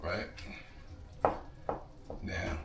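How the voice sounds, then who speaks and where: A man with a New York accent and a slightly hoarse, froggy voice, chatting casually to viewers while he cooks in his home kitchen. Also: very slow. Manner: laid-back slangy chatter to viewers. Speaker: home cooking vlogger. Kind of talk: casual cooking vlog talk. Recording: echoey room with background noise.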